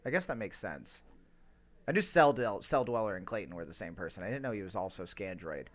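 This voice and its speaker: A man's voice: on the low side, expressive, fairly quick. A man hosting a casual podcast and chatting to a live stream, with a rambling, stammering delivery and loose, sweary language. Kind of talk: casual unscripted podcast chat.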